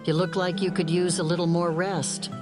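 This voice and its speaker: A man's voice: sits high, flat delivery, moderate pace, pitched above the performer's own voice, lightly acted. A man voicing a cheerful graying waitress with a weary folksy drawl, over music. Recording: music bed underneath.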